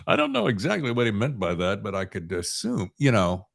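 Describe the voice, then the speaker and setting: Male podcast host: lowish voice, highly animated, emphatic, conversational, voices imagined reactions. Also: fairly quick, gravelly. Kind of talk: casual chat.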